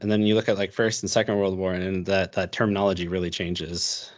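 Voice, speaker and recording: A man's voice: sits low, little pitch movement, brisk. A young American man talking casually as a podcast guest, rambling with lots of fillers and restarts. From United States. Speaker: podcast guest, history YouTuber.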